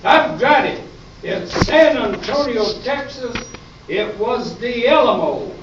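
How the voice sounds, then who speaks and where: A man playing an eager, folksy cowboy quiz champion in an amateur comedy radio play, calling out loudly. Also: extremely high pitch, lively intonation, slow pace, over loud music, exaggerated voice. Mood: gung-ho, confident. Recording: roomy, distant, noisy dull stage recording.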